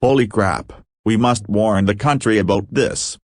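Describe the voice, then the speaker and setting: A man's voice: lowish voice, a little slow, darkish tone, no echo, studio quality. A synthetic-sounding man's voice playing a formal government phone operator in a scripted audio drama. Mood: businesslike, alarmed.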